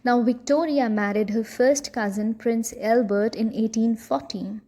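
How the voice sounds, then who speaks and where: A woman's voice: fairly high pitch, fairly even intonation, measured pace, dark tone. A woman reading scripted educational narration for a short history film.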